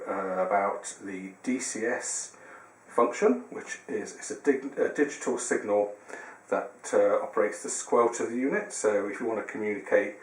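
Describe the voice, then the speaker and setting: Low-voiced man giving a roomy tutorial. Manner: hesitant, explaining step by step. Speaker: tutorial presenter. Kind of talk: casual product how-to demonstration. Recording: roomy, distant mic, faint noise.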